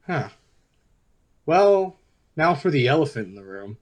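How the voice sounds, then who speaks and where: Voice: mid-range voice, big pitch swings, measured pace. An actor playing a chatty, opinionated political interviewer with leading questions in a conversational radio play. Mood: pointed, lively.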